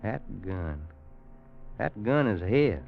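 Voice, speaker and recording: An actor playing a loyal, fatherly old frontiersman with a rustic American drawl and halting folksy speech in an old-time radio Western. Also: lowish voice, big pitch swings, vocal fry, heavily acted. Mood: somber, reflective. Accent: American Southern or Western drawl. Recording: dull vintage radio recording with music.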